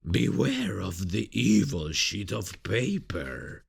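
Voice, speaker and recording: A man playing a sadistic, playful serial killer in an ASMR roleplay, mocking and teasing in a husky, gravelly voice with drawn-out delivery. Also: lowish voice, wildly swooping pitch, heavily acted. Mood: playful menace.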